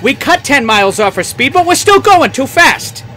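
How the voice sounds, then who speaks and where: Harried, high, sharp-voiced train engineer. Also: male voice, sing-song, moderate pace, soft background music, exaggerated voice. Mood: exasperated, stressed. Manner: irritable, strained. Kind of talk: old-time radio drama acting.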